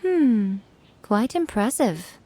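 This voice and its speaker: Elegant teasing game lady, slightly high voice, refined n amused, faint noise behind. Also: big pitch swings, takes it slowly, warm tone. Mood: amused, intrigued.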